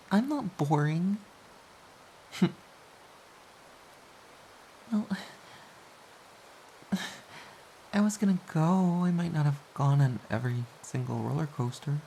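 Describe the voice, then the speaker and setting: A low, mellow voice of a woman acting as a playful romantic partner snuggled up in bed. The delivery is slow, intimate and teasing, with a mock-pouty streak. Faint hiss sits behind the voice. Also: poor audio. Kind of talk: intimate audio roleplay, soft casual pillow talk.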